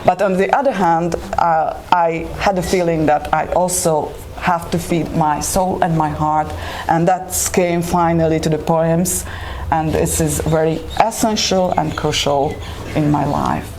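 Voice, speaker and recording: A woman from Slovakia whose first language is Hungarian, a guest poet answering interview questions with many pauses and restarts. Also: deep voice, fairly even intonation. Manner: hesitant, many fillers and restarts. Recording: noisy room ambience.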